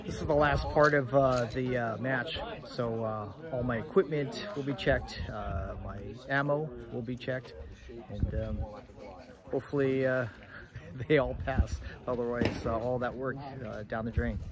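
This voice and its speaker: A man in his fifties chatting casually to camera as a vlogger, with halting, hesitant phrasing and frequent pauses. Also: mid-range voice, fairly even intonation, a loud crowd. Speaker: vlogger and competitive shooter. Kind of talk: casual vlog chat to camera. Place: outdoors at a range and inside a moving vehicle. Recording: loud music under the voice. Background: music.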